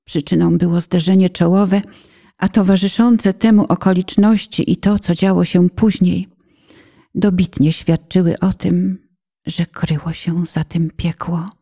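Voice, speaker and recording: A woman narrator reciting a poetic confessional prayer in a solemn, dramatic manner, with deliberate phrasing. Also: on the low side, steady tone, warm tone.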